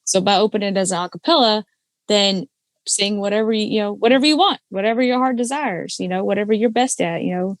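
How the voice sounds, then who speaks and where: A woman's voice: quick pace, dry recording. A woman, chatting casually as a podcast guest and explaining singing with lots of fillers and restarts. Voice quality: low alto voice. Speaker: podcast guest, karaoke singer and judge. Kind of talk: casual chat.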